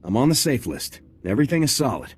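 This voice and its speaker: A man playing a terse, steady soldier in a video game, with a husky voice. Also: medium pitch, quick pace, a little hiss or hum, lightly acted. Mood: calm.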